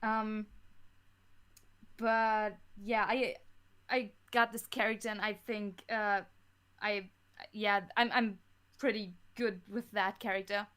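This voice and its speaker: A woman's voice: mid-range voice, flat delivery, slow, long pauses, a bit bright, clean tone. A young woman chatting casually as a podcast guest, hesitant and rambling in how she talks. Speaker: podcast guest, creator of an audio drama. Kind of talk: casual interview chat.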